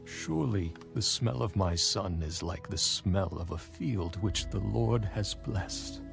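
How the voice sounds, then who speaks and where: Man playing a slow, gravelly old patriarch. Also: fairly low pitch, exaggerated voice. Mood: solemn blessing. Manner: slow, solemn, deliberate. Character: old dying father, solemn Bible patriarch giving blessings. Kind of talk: dramatised audio Bible acting. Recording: background music under the voice.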